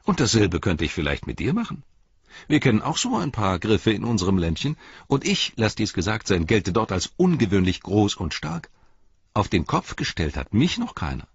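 A man reading a storybook voices a playful male halfling with teasing bravado and a husky voice. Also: fairly low pitch, big pitch swings, normal speed, no echo, dull audio, voice pushed up, exaggerated voice. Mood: mock-threatening. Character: cheeky small male halfling, good-humored.

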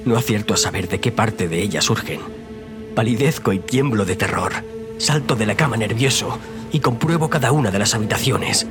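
A man's voice: fairly low pitch, animated, moderate pace. A man narrating a first-person horror story in a dramatic, suspenseful, theatrical manner. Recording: loud music under the voice. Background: loud music.

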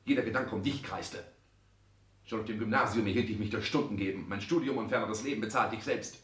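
An actor playing a cold, ambitious, self-important grown son with formal, clipped speech in a 1960s radio play, recorded roomy and slightly distant. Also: male voice, fairly low pitch, quick pace, fairly bright tone, close to an everyday voice. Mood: accusing, cold. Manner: formal, clipped, pompous declamation.

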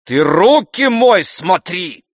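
An idle gentleman of the house , acted out by a male narrator, indignant and querulous. His voice climbs high and swings widely as he whines and scolds in a dramatic audiobook. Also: very animated, takes it slowly, dry recording, studio quality, exaggerated voice. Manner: indignant, whining exclamations.

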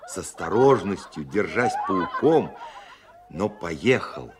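A man playing a composer who recalls his childhood with gentle humour as narrator of a radio play. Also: low-pitched, sing-song, slow, heavy background noise, full character voice. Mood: amused. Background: music.

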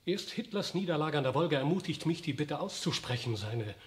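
A man's voice: fairly high pitch, animated, a little fast, lightly acted. Male actor: courteous count, urgent but polite, radio play. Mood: urging. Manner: polite, careful, pressing. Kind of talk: 1960s radio drama acting.

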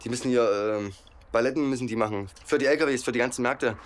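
A man's voice: medium pitch, quick pace. A man explaining things casually and colloquially in an interview. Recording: faint vehicle and ambient noise.

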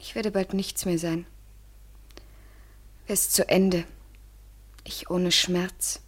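An actress playing an anguished, submissive woman desperately in love, breathy, in an intense poetic radio play. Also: on the low side, flat delivery, extremely slow. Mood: fearful, resigned.

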